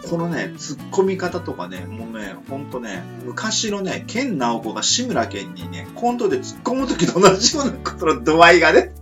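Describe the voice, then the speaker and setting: A man hosts a relaxed home radio show. His pitch swings widely as he rambles politely, full of fillers. Guitar music plays underneath. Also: normal speed, few pauses. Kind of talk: casual radio chat.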